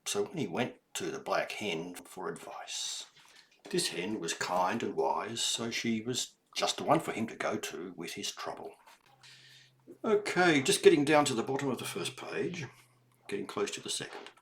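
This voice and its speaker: An older man reading a children's story aloud, deliberate and emphatic, with explanatory asides to young listeners. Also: fairly low pitch, expressive, fairly bright tone, slight background noise.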